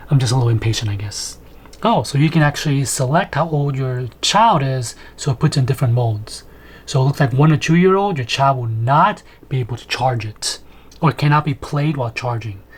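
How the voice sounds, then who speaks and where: A man's voice: mid-range voice, a little fast, husky. A man in his thirties giving casual, conversational unboxing and setup commentary as he thinks aloud in a room at home. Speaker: video host, product reviewer. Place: small home room, indoors.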